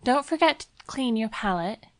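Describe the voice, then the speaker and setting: A woman's voice: a little high, expressive, moderate pace, no room sound, voice pushed up, close to an everyday voice. A woman voicing a tender, anxious young woman in an audiobook story, nervous and pleading. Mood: affectionate. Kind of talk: audiobook character dialogue.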